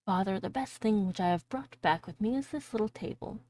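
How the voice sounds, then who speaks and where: A woman's voice: mid-range voice, animated, a little fast, a little mellow, lightly acted. A woman voicing an earnest, proud and generous young male apprentice in a storybook, with old-fashioned phrasing. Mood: proud, eager.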